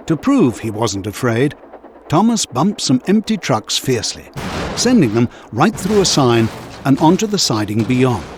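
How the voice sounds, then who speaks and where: A man narrating a scripted children's story in an expressive, measured storytelling manner. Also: medium pitch, background noise.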